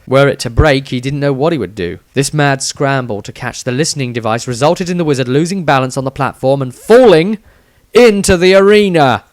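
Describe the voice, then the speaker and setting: A man's voice: medium pitch, animated, fairly quick, no room sound. Man narrating a fantasy story, dry close sound. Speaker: author reading own fantasy story. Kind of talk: scripted audiobook narration.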